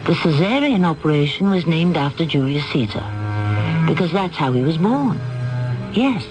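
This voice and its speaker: A man narrates as host of a seventies radio mystery. His fairly high voice swings expressively, measured and portentous, over clear background music. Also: sing-song, poor audio. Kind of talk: dramatic scripted 1970s narration.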